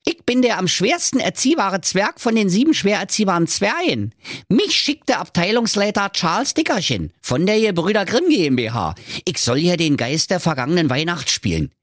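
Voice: medium pitch, very animated, moderate pace, dry recording, studio quality, voice pushed up. A performer voicing a cheeky, bossy little male dwarf ghost, brash and impatient, in a comic storybook tale.

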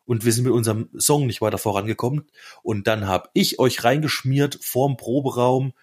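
Enthusiastic podcast guy, husky voice, chatting real casual with his buddies. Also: mid-range voice, normal speed, darkish tone. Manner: colloquial, enthusiastic. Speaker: podcast co-host. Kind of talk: casual chat among friends.

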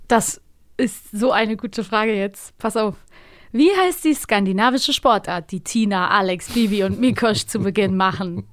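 A woman hosts a podcast in a relaxed conversational style. Her voice sits close to the microphone, with a very dry sound and an ordinary middle pitch. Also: unhurried, dry recording. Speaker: podcast host and voice actress. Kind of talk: casual chat.

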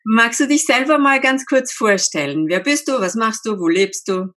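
A woman's voice: on the high side, expressive, normal speed, fairly bright tone. A woman, a linguist, hosting a podcast interview, talking with enthusiasm and encouragement. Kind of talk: podcast interview, scripted intro.

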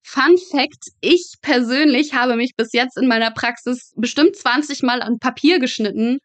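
A woman's voice: sits high, moderate pace, a bit bright, dry recording. A young woman, a guest telling stories in a casual, chatty and emphatic interview style. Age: young adult. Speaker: podcast guest, medical student working in pathology.

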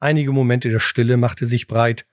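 A man's voice: mid-range voice, steady tone, moderate pace, no echo, clean studio sound. A man narrating a scripted audio drama in a dramatic storytelling style. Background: music.

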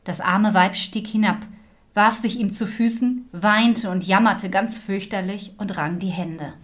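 A woman's voice: mid-range voice, fairly even intonation, unhurried. A woman storyteller reading a scripted fairy tale narration. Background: music.

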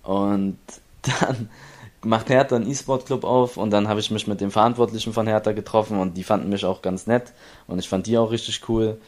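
Low-voiced young man telling his story. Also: steady tone, fairly quick. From Berlin. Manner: rambling, many restarts, acts out others' lines. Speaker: esports player. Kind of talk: casual interview storytelling.

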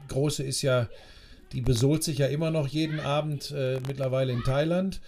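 A man's voice: medium pitch, fairly even intonation, moderate pace, vocal fry, a little mellow, slight background noise. A man co-hosting a casual sports podcast, talking in a teasing, ironic way. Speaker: podcast co-host.

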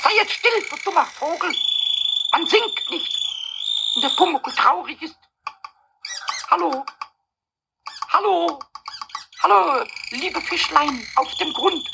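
An actor playing a small, sulky male kobold in a children's radio play, with a squeaky, creaky voice and a scolding, plaintive manner. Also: full character voice. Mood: plaintive, calling. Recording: noisy old radio play clip.

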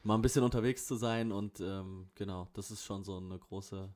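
A man talking in a casual chat, rambling in relaxed slang with many fillers, recorded in an apartment kitchen. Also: fairly low pitch, moderate pace. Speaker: rapper guest.

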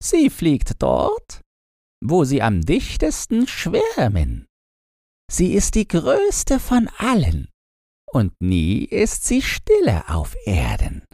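A man voicing a kindly old grandmother who tells winter tales in a slow, hushed storytelling manner. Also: fairly high pitch, wildly swooping pitch, no room sound, clean studio sound, pitched above the performer's own voice, heavily acted. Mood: gentle, mysterious.

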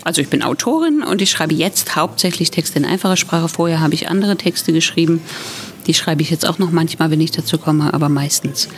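A woman author from Thuringia, a guest on a podcast, talking frankly and lively in casual chat and agreeing often. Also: sits low, brisk. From Thuringia, Germany.

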